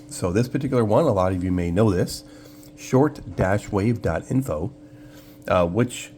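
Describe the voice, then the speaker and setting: A man casually hosting a screen walkthrough, explaining things step by step in an informal, easygoing way. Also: sits low. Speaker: host of a shortwave radio channel. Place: indoor room, filming a computer screen. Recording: clear steady hum and background noise.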